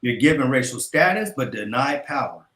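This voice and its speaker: A man on a debate panel, explaining his argument in a measured, lecturing way. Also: mid-range voice, animated, fairly bright tone, roomy sound.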